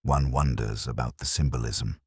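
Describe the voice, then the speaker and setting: Shrewd townswoman voiced by a male narrator, deep, thoughtful murmur, drily ironic, storybook mystery. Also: fairly even intonation, moderate pace, slightly rough, no room sound, studio quality. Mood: musing. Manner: murmuring, reflective.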